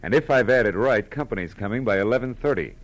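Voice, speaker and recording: An actor playing a wry, tough private detective in an old radio noir, with clipped, slangy delivery. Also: male voice, fairly low pitch, expressive, fast talker, lightly acted, poor audio. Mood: casual, brisk. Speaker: narrator and lead. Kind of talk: 1940s radio noir narration and dialogue. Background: music.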